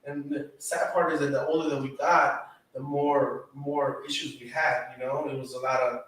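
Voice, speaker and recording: A man's voice: mid-range voice, flat delivery, moderate pace, fairly bright tone, far from the mic. A man who grew up around Atlanta, talking casually on a podcast, swearing freely and filling his talk with "you know". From Atlanta, Georgia area, United States. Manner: laid-back, swears freely, peppers speech with "you know". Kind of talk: casual chat. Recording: very echoey room, from off-mic.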